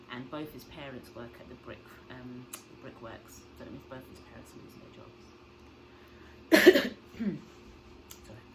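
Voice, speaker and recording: Woman teacher reading a kids book out loud, low voice, stops to explain stuff, noisy background. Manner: explains words and story simply for children. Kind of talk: expressive storybook read-aloud with questions to young listeners.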